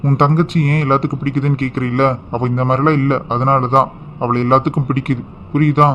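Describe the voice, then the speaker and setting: Fast-talking stern young husband played by a man. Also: medium pitch, steady tone, a bit bright, close to an everyday voice. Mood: bitter, disappointed. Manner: stern, reproving. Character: stern, disappointed young husband, protective of the household maid. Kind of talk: family melodrama acting. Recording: dull sound with faint background noise.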